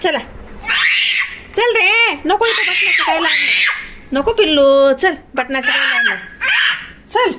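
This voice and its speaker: A very high-voiced woman with a rural Marathi accent talks to her toddler in a lively, swooping way. She scolds and coaxes in short, repeated commands. The home recording has clear background noise. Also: slow, long pauses, raised voice. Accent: Marathi, rural Maharashtra. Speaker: mother filming her toddler for a family vlog. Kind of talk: casual home chat with a small child. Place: indoors at home. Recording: noisy home phone recording.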